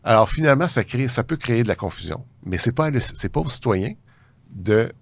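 A man's voice: medium pitch, lively intonation, a little fast, vocal fry, dry recording. A man with a Canadian French accent explaining things off the cuff as an interview guest, often circling back mid-sentence. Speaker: tax ombudsman interviewed as guest. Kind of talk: unscripted explanatory interview talk.

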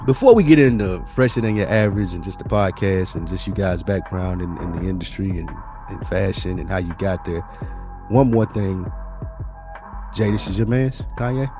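A man's voice: deep voice, normal speed, over music. A man hosting a podcast, chatting casually in informal, slangy language with some swearing. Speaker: podcast host.

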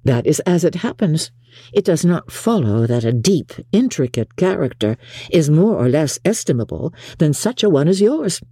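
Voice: mid-range voice, big pitch swings, normal speed, warm tone, breathy edge, no room sound, clean studio sound. A narrator as a witty, composed young woman. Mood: playful, composed. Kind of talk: audiobook character voice in a period novel.

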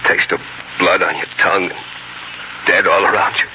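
An actor playing an earnest young frontier farmer and soldier in dramatic 1940s radio style. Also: male voice, low-pitched, fairly even intonation, normal speed, pushed and bright, slight background noise. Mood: dazed, somber. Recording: dull 1940s radio recording, music behind.

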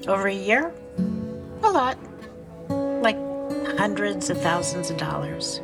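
A woman's voice: mid-range voice, sing-song, slow, gravelly, over loud music, full character voice. A woman playing a guarded, self-deprecating divorced businesswoman in middle age, speaking dryly and confidingly in an audio drama. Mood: uneasy. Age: middle-aged. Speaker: lead actress and narrator. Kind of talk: confessional first-person narration and dialogue in audio drama.

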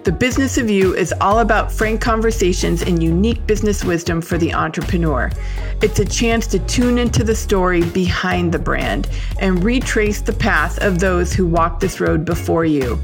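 A woman hosting a business podcast, reading a polished intro and then interviewing a guest. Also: on the low side. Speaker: podcast host.

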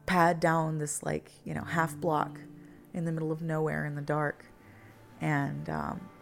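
Very creaky low-voiced woman reminiscing. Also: level tone, faint music behind. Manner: halting, searching for words. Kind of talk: casual personal storytelling.

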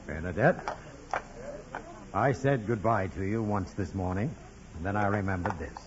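An actor playing a kindly, solemn parish priest in 1940s radio drama style, measured and gently paternal. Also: male voice, low voice, crowd noise, natural voice. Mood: tender farewell. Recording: dull vintage radio recording, music behind.

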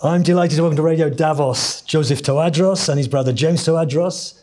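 A man hosting a radio interview podcast, speaking in a friendly, measured way and reading some parts from notes. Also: on the high side, a little mellow. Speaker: podcast host. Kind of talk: radio interview and scripted intro.

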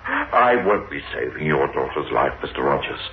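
A man's voice: very deep voice, sing-song, normal speed, heavily acted. An actor playing a haunted, reluctant man cursed with visions of doom, anguished and hesitant in 1960s radio horror style. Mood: weary resignation. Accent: South African. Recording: dull, noisy old radio recording.

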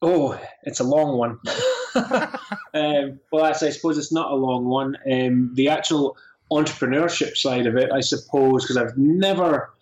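A man's voice: mid-range voice, moderate pace. A man in his forties, a designer answering interview questions in an easygoing way, hesitating with many ums. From Scotland. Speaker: guest, graphic designer. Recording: echoey room.

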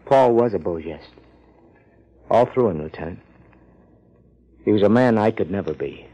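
A man acting a subdued, earnest young male heir in a 1950s radio drama, speaking formally and with measured care. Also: on the low side, animated, warm tone. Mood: solemn, earnest. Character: earnest, subdued twenty-one-year-old male millionaire heir. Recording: muffled 1950s radio recording.

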